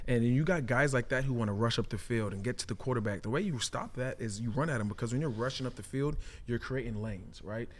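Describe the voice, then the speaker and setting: A man's voice: medium pitch, fast, few pauses. A man with an American accent analysing football casually on a podcast, often tagging his points with a checking question. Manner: often tags statements with "right?". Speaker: former player and podcast analyst.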